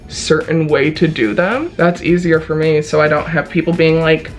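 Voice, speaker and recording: A woman's voice: deep voice, normal speed, faint music behind. A young woman from Chicago with a Midwestern accent, chatting casually and playfully while she does her makeup. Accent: Midwestern American. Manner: bubbly, playful, self-mocking. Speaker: video host.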